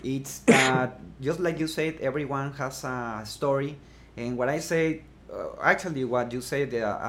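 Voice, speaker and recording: A man from Mexico with a Mexican Spanish accent, speaking as an interview guest in a casual chat, pausing often with fillers and restarts. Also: mid-range voice, a little hiss or hum. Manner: hesitant, many fillers and restarts. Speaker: guest, blogging course creator.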